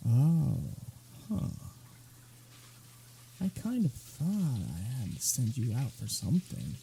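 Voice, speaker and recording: A man's voice: mid-range voice, sing-song, takes it slowly, gravelly, warm tone, a little hiss or hum, heavily acted. A man playing a smug, centuries-old vampire in an intimate ASMR roleplay, teasing with a sarcastic drawl. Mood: exasperated, teasing.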